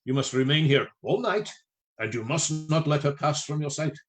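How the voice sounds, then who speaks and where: Male actor as older foreign doctor, learned, grave, urgent, imperative, formal phrasing, slightly high pitch, radio play. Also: lively intonation, normal speed, soft background music, close to an everyday voice. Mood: grave, commanding.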